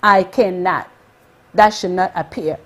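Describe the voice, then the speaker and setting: A woman's voice: fairly low pitch, unhurried, a bit bright. A woman from Uganda with a Ugandan accent, hosting a motivational live stream and speaking with energy, exclaiming and repeating her points for emphasis.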